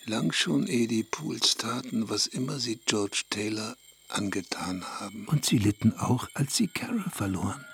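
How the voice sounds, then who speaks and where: An actor playing a brooding, reflective man who narrates a literary radio play in a deliberate, measured, intimate manner. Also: on the low side, expressive, gravelly, fairly bright tone, a little hiss or hum. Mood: hushed, grave. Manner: deliberate, measured, intimate, at times dropping near a whisper. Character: brooding, reflective man recounting a small-town tragedy. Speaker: actor narrating a character's story. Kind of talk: German radio play monologue, literary first-person narration.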